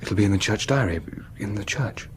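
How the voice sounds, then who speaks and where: A man's voice: fairly low pitch, a little fast, a little hiss or hum, natural voice, rough recording. An actor playing an earnest, troubled English clergyman in a radio drama, with an urgent, conscientious manner. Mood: grave.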